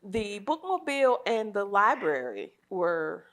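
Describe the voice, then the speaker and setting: A woman guest in a casual podcast chat, speaking in a measured, thoughtful way. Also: mid-range voice, sing-song, fairly bright tone.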